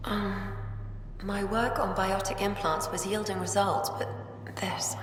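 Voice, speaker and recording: A woman's voice: medium pitch, level tone, a little slow, natural voice. A woman playing a resigned, frank scientist mother in a video game drama. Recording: roomy hall echo, faint noise.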